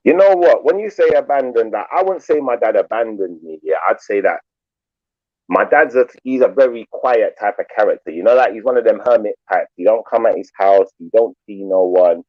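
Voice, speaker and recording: A man from England talks in a loose, rambling way. His voice sits low, and the sound is dry and a little dull, with no room around it. He keeps saying "like" and "you know" as he speaks. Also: lively intonation, brisk, no room sound. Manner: laid-back, rambling, says "like" and "you know" a lot. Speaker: panel guest. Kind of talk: casual group chat.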